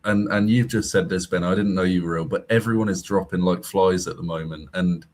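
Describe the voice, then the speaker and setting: A man's voice: low voice, fairly even intonation, brisk, a little mellow. A young man from Birmingham chatting easily on a podcast. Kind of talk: casual chat.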